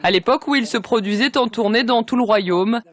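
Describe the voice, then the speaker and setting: A woman's voice: a little high, brisk. A woman reporter delivering scripted documentary narration.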